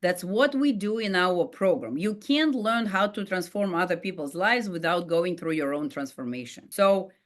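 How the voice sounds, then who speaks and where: A middle-aged woman with a Russian accent speaks in a somewhat low voice. She tells her own story as a life coach, earnest and heartfelt, often pausing on "uh" and restarting her sentences. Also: lively intonation. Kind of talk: personal storytelling monologue.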